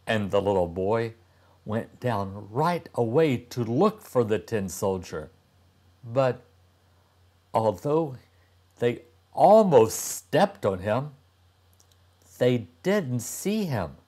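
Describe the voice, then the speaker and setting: A man in his sixties reading a storybook aloud to young children, deliberately and with dramatic pauses. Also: mid-range voice, lively intonation, darkish tone. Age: older, about 60s. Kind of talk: expressive storybook narration.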